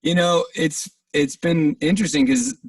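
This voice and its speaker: A man's voice: fairly high pitch, normal speed, breathy edge, dry recording, distant mic. A man from a band, chatting easygoingly in a radio interview and trailing off into restarts. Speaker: band member guest. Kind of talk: casual interview chat. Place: band rehearsal room.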